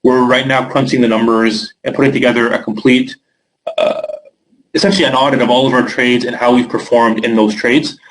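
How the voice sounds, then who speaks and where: A young Canadian man with a Canadian accent runs a live webinar and answers viewer questions in a loose, chatty way. His voice sits at a middle pitch, and his talk is full of fillers and quick restarts. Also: little pitch movement, slight background noise, poor audio. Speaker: trading webinar host.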